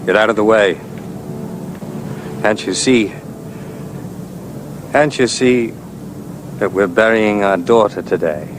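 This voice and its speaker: A bereaved father, bitter and anguished. His low voice is curt, set against a noisy rumble in a television drama. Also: takes it slowly, pauses often. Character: bitter, grief-stricken father at a funeral procession.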